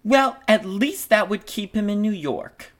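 A woman's voice: medium pitch, expressive, a little slow, pitched above the performer's own voice. A woman playing a coy, breezy young woman from a stage musical, with a sing-song theatrical delivery. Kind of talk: amateur stage script read-through.

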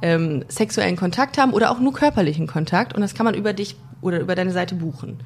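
Plaudernde, witzelnde Podcast-Moderatorin. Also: female voice, fairly low pitch, fast talker, a little hiss or hum. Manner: chatty and jokey, with frequent fillers and restarts. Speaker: podcast host. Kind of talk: casual interview chat.

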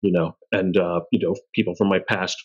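A man's voice: sits low, flat delivery, a little fast. A man in his forties bantering casually in a self-deprecating, joking way as a podcast host. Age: 40s. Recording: dull, lo-fi audio.

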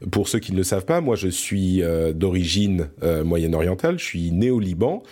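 A man's voice: deep voice, expressive, moderate pace, warm tone. A man from France hosting a podcast, chatting casually about pop culture and often searching for words as he restarts his sentences. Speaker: podcast host.

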